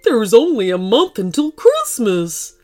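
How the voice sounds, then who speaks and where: A woman voicing a big, grumbly male yeti in a children's story, speaking slowly and drawing out the words. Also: fairly high pitch, wildly swooping pitch, darkish tone, soft background music. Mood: doubtful. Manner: slow, drawn-out delivery.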